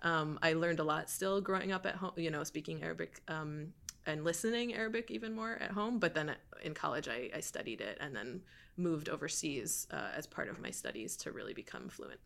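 A woman's voice: low voice, a little fast, vocal fry. A young woman from Michigan, a podcast guest talking casually with lots of fillers. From Michigan, USA. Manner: relaxed, frequent fillers and laughs. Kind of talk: casual interview chat.

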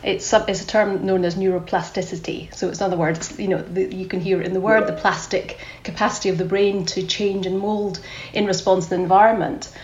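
A woman's voice: medium pitch, steady tone, fairly quick, noisy background. Scottish woman, psychologist guest, thoughtful explainer, echoey room, muffled sound. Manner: thoughtful, repeats words while explaining. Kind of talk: explanatory podcast interview.

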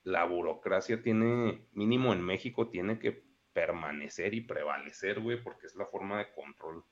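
A man's voice: sits low, moderate pace, lo-fi sound. A man in his thirties with a Mexican accent, talking in a rambling, slangy casual chat. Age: 30s. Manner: slangy, rambling, peppers speech with "güey".

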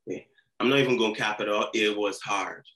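A man in his twenties with a somewhat low voice. He tells stories as a podcast guest in a laid-back way, full of slang. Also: a little fast, sharp tone, low-quality recording. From Nigeria. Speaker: guest rapper. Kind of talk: casual podcast interview.

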